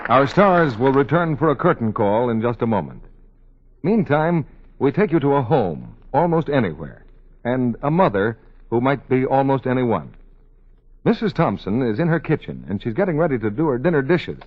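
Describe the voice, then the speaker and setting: A man's voice: medium pitch, very animated, normal speed. A man announcing in the scripted style of 1940s radio advertising. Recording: dull 1940s radio recording.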